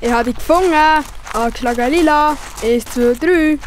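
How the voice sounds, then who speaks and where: A woman's voice: sits high, normal speed, a little hiss or hum, close to an everyday voice. A woman with a Swiss accent voicing a playful, eager young male hare in a children's audio play. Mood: triumphant.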